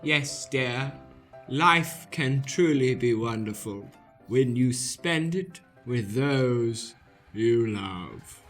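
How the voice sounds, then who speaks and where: A man's voice: mid-range voice, lively intonation, very slow, fairly bright tone, faint music behind. A man playing a scheming, easily deflated witch, campy and melodramatic, in an improvised comic radio play. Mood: resigned, fond. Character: theatrical villainous witch Matilda, scheming yet easily deflated.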